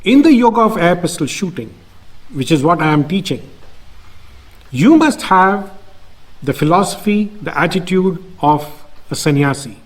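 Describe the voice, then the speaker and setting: A man's voice: high-pitched, expressive, normal speed, pauses often, low-quality recording. A man speaking as a coach and interviewer, stressing and repeating his key words with emphasis. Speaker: shooting coach, host.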